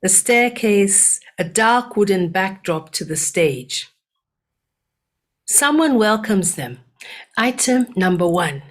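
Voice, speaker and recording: An older woman from Uganda, a storyteller reading her own poem aloud in a soft-spoken way. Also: mid-range voice, lively intonation. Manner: soft-spoken, unhurried, breaks into chanted and sung verses. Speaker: storyteller and poet.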